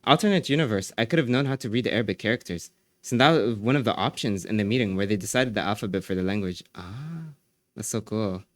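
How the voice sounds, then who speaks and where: Low-voiced man chatting with his stream. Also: animated, quick pace, no echo. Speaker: streamer reading chat. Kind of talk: casual live-stream chat.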